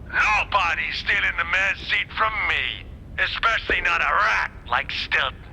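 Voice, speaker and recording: Scheming ruthless noir boss, high pitched, super sharp and brassy, slight noise. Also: male voice, lively intonation, unhurried, gravelly, exaggerated voice, poor audio. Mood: smug. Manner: boastful, brash. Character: scheming, ruthless, fast-mouthed corrupt politician mouse villain. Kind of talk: 1930s noir cartoon acting.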